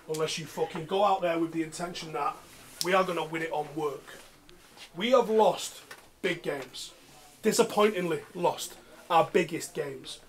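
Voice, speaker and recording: A man's voice: sits high, a bit bright. A man, speaking emphatically and bluntly as a football coach. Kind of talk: team talk and interview, swearing. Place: changing room and outdoor pitch. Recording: clear background noise.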